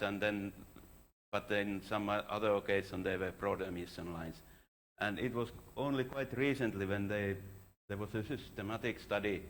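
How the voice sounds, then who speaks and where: Man, fairly deep voice, informal academic presentation, hesitant phrasing, frequent self-corrections. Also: fairly bright tone, a little hiss or hum. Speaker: astronomer giving a conference talk. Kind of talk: informal scientific conference presentation.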